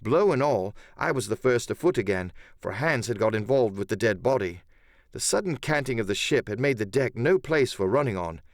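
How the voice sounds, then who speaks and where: A man's voice: medium pitch, expressive, normal speed, no echo, clean studio sound. A man narrating an adventure audiobook in the first person, with theatrical, literary storytelling.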